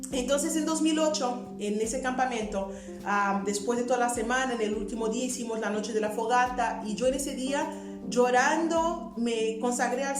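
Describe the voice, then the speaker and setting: A woman in her twenties from Portugal, earnestly sharing her personal faith testimony in a conversational way. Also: a little high. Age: 20s. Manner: earnest, conversational, frequent rhetorical "verdad". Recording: roomy echo with music underneath.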